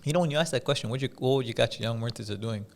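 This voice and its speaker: A man from Dubai speaking as an interview guest, telling stories in a measured, conversational way with pauses and repeated phrases for emphasis. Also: mid-range voice, vocal fry. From Dubai, UAE. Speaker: podcast guest, business coach.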